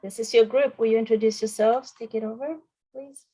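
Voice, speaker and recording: A woman hosts an online forum over a video call. She talks briskly and chats freely, thinking aloud as she sorts out the screen sharing. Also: fairly high pitch, fairly even intonation, mellow, slightly rough. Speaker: forum host. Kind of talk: casual video-call moderating. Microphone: computer on a video call.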